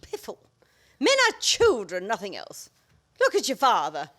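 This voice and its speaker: A woman's voice: mid-range voice, huge pitch swings, a little slow, a bit bright, full character voice. A woman acting a domineering older mother-in-law in a radio play farce, imperious and scornful. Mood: scornful, wrathful.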